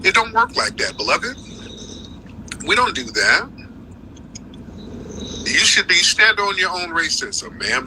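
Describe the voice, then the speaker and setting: A man runs a heated live call-in show. He talks over his guest and stacks up quick "no, no" denials. His voice has a very bright, cutting edge, and faint noise hums under it. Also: medium pitch, low-quality recording. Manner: forceful, interrupting, repeats "no, no". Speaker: live stream host.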